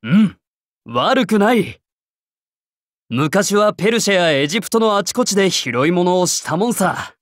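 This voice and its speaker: A man voicing a confident, easygoing young warrior king in a game, with casual, rough-edged speech. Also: high-pitched, very animated, measured pace, no echo, studio quality. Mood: easygoing, teasing. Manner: casual, cocky masculine speech. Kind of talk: Japanese game character voice acting.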